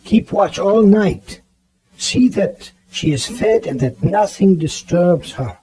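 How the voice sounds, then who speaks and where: A grave, authoritative male professor of medicine in a radio drama. His voice is mellow and a little high. He instructs decisively in clipped phrases. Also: expressive, slow pace, poor audio. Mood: measured, musing.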